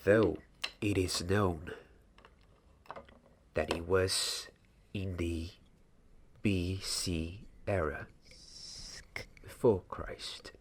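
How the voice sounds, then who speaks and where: A man in his twenties playing a patient, mysterious young wandmaker apprentice in a soft-spoken, deliberate ASMR roleplay. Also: low voice, fairly even intonation, a little hiss or hum. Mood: hushed, instructive. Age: 20s. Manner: slow, hushed, deliberate, sometimes slipping into whisper.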